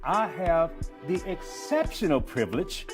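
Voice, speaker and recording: A man hosting a wellness podcast, enthusiastic and animated in conversation, laughing often. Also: on the high side, measured pace, over music. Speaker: podcast host. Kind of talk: podcast interview.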